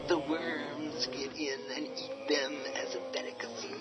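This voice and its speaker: A man's voice: fairly high pitch, steady tone, a little slow, close to an everyday voice. A man playing a mocking, teasing young brother. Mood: spooky teasing. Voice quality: sneering, teasing spooky whispers. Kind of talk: radio drama acting. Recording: dull radio drama with music.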